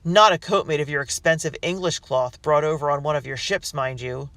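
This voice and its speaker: A narrator voicing shouting men of a rebel mob taunting a loyalist family. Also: mid-range voice, monotone, normal speed, vocal fry, dry recording. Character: jeering rebel mob men, gleeful and cruel.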